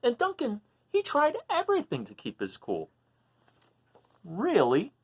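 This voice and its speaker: A woman reading a picture book aloud for children, animated and often stopping to ask the listener questions. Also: on the high side, unhurried, breathy edge, lo-fi sound. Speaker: storybook reader for children.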